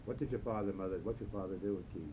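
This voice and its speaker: A man's voice: fairly low pitch, racing, mellow, slight background noise, poor audio. A man conducting an oral history interview, prompting with short follow-up questions.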